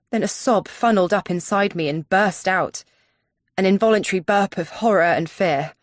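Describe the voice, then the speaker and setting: Female narrator for first-person thriller audiobook, lowish pitch, very dry recording. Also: no room sound.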